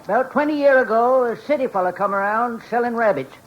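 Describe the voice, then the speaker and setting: An actor playing a crusty, folksy old codger, speaking deadpan in a 1940s radio comedy. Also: male voice, very high voice, fast talker. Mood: dry, rueful. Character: crusty old deadpan rural New England codger Titus Moody. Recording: dull, noisy vintage radio recording.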